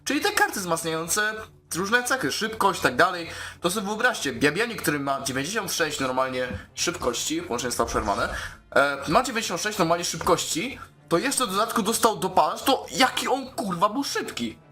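A man commentating casually on his own video game play in an excitable, informal way, with speech. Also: high voice, moderate pace, soft background music. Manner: excitable, exclaiming, informal with swearing. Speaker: gaming YouTuber.

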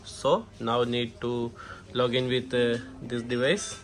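A man who is not a native English speaker gives a hands-on setup walkthrough in short, halting phrases with frequent okays. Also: medium pitch, fairly even intonation, over music. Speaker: tutorial presenter, technician. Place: indoor shop or office. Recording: noisy room, clear background noise.

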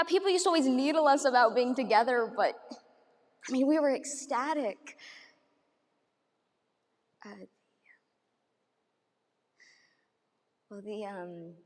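A young woman performing a dramatic monologue as a grieving mother who remembers her baby son in a tender, halting, conversational way. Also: a little high, lightly acted. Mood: subdued reminiscing. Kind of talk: competitive dramatic interpretation monologue. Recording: echoey hall, recorded at a distance.

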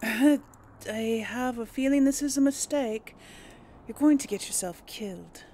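A woman's voice: a little high, a little slow, dark tone. A woman giving casual role-play commentary on a video game, informal and musing aloud. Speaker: gaming channel host.

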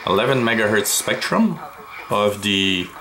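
A man narrating a hands-on tutorial as host, in a halting, hesitant way. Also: on the low side, animated. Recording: loud shortwave radio static. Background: radio static and noise.

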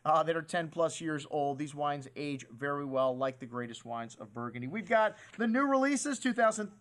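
Man, middle-aged, high voice, enthusiastic wine seller, casual talk to camera. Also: animated, moderate pace, a bit bright. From USA. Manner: enthusiastic, chatty salesman with "hey" and "man". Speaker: wine shop host. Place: wine shop set in front of wine crates, indoors.

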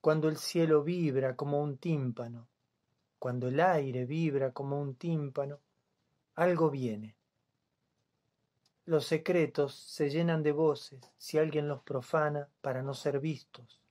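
A man in his fifties with a Buenos Aires accent reads poetry solemnly and deliberately, leaving long pauses. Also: fairly high pitch, steady tone, darkish tone. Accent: Buenos Aires Spanish. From Buenos Aires province, Argentina. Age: 50s. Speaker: poet.

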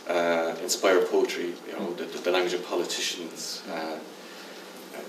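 A deep-voiced older man moderates a panel on stage, restarting his questions as he goes. The sound comes from a distant mic in a reverberant hall with a steady noise floor. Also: a little slow. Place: stage in a dim theatre hall. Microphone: far camera. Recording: echoey hall from far off.

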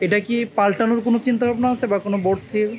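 A male host with a Bangladeshi Bengali accent conducts a human-interest interview in the field. He talks quickly in a high register, informally probing. People can be heard chattering behind him. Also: steady tone, a crowd in the background. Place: on location in a village.

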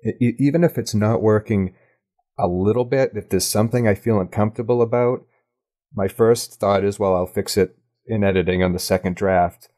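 A man with a low, deep voice answers as a guest in a casual interview. He is thoughtful and candid, working out his answers as he talks. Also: moderate pace, dark tone. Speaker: podcast guest, audio drama writer. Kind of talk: casual, reflective interview chat.

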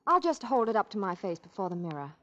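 An actress playing a self-critical, fretful shopper in a 1940s radio commercial. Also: female voice, mid-range voice, very animated, fast, fairly bright tone. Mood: chatty. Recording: muffled 1940s radio recording.

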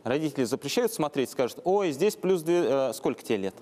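A man's voice: on the high side, expressive, brisk, mellow, a little hiss or hum. A man in his thirties presenting as co-host of a studio talk show, putting questions to guests and audience. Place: TV studio, standing.

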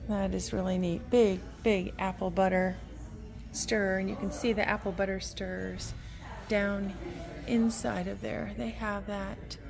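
A woman vlogging a casual walk-through of a large indoor antique mall, talking in a meandering, unhurried way with lots of fillers. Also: medium pitch, flat delivery, gravelly. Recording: phone audio with faint store music. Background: store music playing faintly.

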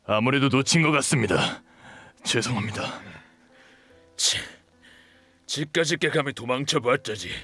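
An actor playing a menacing captor hunting an escapee in an audio drama. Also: male voice, medium pitch, moderate pace, pauses often, bright, forceful tone, faint music behind.

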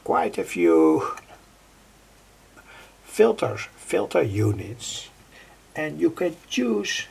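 An older man giving an unscripted technical tutorial in a halting, repetitive way, piecing phrases together. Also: on the high side, wildly swooping pitch, a little mellow. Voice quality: husky, gravelly. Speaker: electronics hobbyist presenter. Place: indoors at a worktable.

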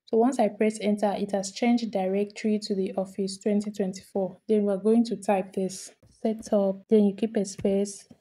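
A woman talking through a screen-recorded software tutorial, step by step, repeating each action as she does it. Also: mid-range voice, flat delivery, normal speed, few pauses. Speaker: tutorial host.

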